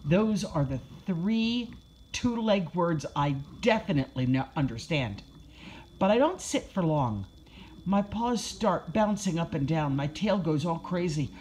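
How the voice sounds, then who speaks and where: A woman acts out a young male puppy narrating his own children's storybook. He is excitable, childlike and obsessed with food. The delivery is gushing and exclamatory, with big pitch swings at a measured, easy-to-follow pace. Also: a little hiss or hum, low-quality recording. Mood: happy, earnest.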